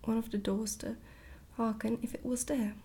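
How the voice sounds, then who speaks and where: A woman gently reading a storybook aloud in a hushed, whispered ASMR style. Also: fairly high pitch, little pitch movement, normal speed, slightly rough. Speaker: storybook reader, ASMR creator.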